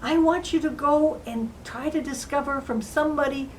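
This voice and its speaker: A woman playing a young fairy-tale queen and mother, telling her own story in an earnest, dramatic, emotional way with drawn-out emphasis. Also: high-pitched, lightly acted. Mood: worried, determined. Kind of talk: dramatized first-person storytelling in character. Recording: faint background noise.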